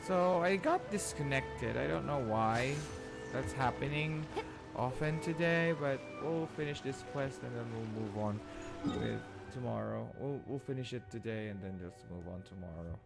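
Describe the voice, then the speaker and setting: Gravelly man streaming a game. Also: medium pitch, expressive, normal speed. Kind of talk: casual gameplay commentary. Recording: game music behind the voice.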